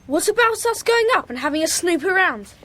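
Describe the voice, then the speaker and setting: Young schoolboy, friendly, eager, very high voice, animated, children's audio drama. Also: female voice, brisk. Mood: curious.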